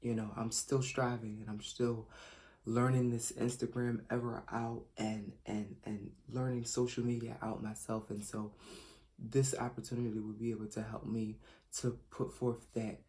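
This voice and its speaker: A man in his late twenties from Philadelphia, speaking earnestly in a self-recorded audition pitch as a fashion designer. He hesitates a lot, with many fillers and restarts. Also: flat delivery, normal speed, darkish tone. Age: late 20s. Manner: earnest, self-described shy and reserved.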